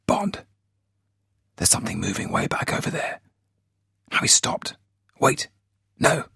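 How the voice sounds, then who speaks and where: An excitable army captain blurts out a high, breathless, urgent running report from his lookout post, as a male narrator puts him on. His voice keeps a husky grain, and it sits close and dry with no room around it. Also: unhurried, no echo, heavily acted. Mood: excited.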